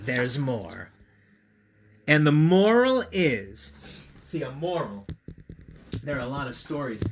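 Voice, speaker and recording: A man reading a picture book aloud to children in a playful, expressive way, with chatty asides to his young listeners. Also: medium pitch, slight background noise.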